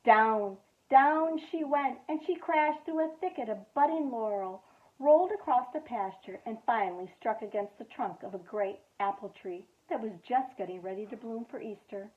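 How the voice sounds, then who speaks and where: Woman reading a storybook expressively. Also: a little high. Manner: expressive read-aloud with dramatic pauses. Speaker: youth director. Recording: tinny phone-like audio.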